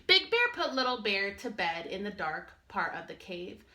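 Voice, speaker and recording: Bright, animated woman reading to children. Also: medium pitch, normal speed. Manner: expressive, addressing children directly. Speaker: first-grade teacher. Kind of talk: storybook read-aloud for young children.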